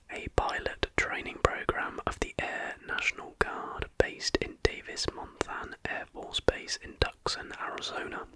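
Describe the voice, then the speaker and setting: An ASMR host narrating entirely in a breathy, unvoiced whisper, explaining things softly and without hurry. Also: extremely high pitch, low-quality recording.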